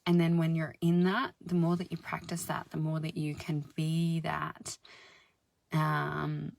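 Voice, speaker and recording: A woman with a deep, husky voice giving a slow, soothing spiritual self-help talk, sometimes dropping into soft whispers. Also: level tone, dry recording. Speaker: spiritual healer and channel host.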